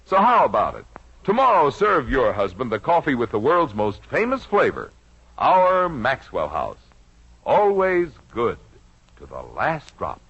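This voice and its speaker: An American man working as a commercial announcer, giving a folksy, persuasive 1950s radio sales pitch. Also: wildly swooping pitch, a little slow, a bit bright, breathy edge. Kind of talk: 1950s radio ad read, smooth-talking pitch. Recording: muffled old radio recording.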